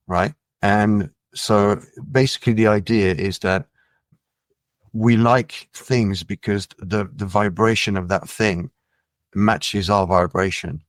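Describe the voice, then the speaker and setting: A middle-aged man from France, a musician guest in a casual interview, talking in a relaxed, musing way with many fillers. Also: fairly low pitch, dry recording. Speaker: musician and label head, guest.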